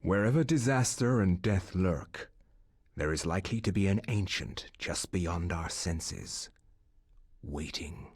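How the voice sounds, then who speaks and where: An actor playing a somber narrator of a horror game, speaking in a measured, portentous manner. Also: male voice, low-pitched, animated, a little mellow, husky, no echo, studio quality, exaggerated voice. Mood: grave, foreboding. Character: somber, foreboding male narrator of a horror tale.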